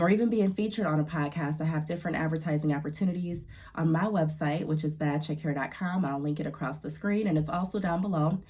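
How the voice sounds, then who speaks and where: A woman's voice: sits low, darkish tone. A young woman vlogger with a congested, stuffy voice, giving chatty and encouraging business advice to camera with lots of fillers. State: congested from allergies. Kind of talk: casual advice talk to camera.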